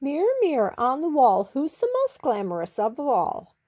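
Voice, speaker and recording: A pampered female storybook cat, played by a woman, full of haughty self-admiration. The delivery is theatrical and very lively, leaping up in pitch. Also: normal speed. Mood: self-admiring.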